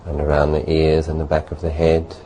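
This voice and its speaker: A man leading a guided meditation as a teacher, speaking gently and unhurriedly in short phrases with long pauses. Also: rumbling low, completely flat delivery, rough recording. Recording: faint background noise.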